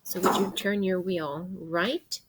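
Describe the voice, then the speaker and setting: A woman teacher reading a children's book aloud in an expressive way, guiding young listeners with questions and pauses. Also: on the low side, very noisy. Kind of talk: interactive read-aloud of a children's nonfiction book.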